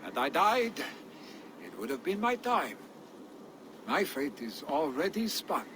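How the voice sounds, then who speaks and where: A boastful young Viking. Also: male voice, on the high side, big pitch swings, takes it slowly. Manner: boastful, teasing, jovial. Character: carefree young Viking warrior, jarl's son. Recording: game cutscene with ambient noise.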